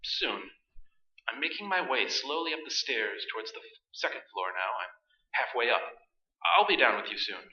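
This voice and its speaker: A solemn doctor who hunts apparitions , played by a man in a staged radio play. His mid-range voice is formal, deliberate and pompous. It is heard from a distance in a dull, roomy recording. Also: medium pitch, very animated, bright, forceful tone. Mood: measured. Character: solemn, pompous male doctor of psychic research.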